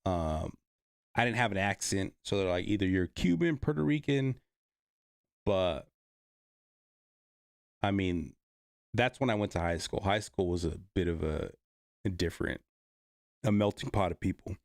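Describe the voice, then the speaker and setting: Low gravelly man, rambling livestream chat. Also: lively intonation, a little slow, long pauses, dry recording, studio quality. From Bay Area, California. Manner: rambling, many fillers and restarts. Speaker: livestream host reading chat.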